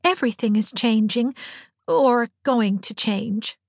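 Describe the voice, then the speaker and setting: A woman's voice: a little high, very animated, measured pace, breathy edge, no room sound, studio quality, voice pushed up, full character voice. A woman voicing a sentimental, anxious teenage girl in a storybook, earnest and plaintive. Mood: sad.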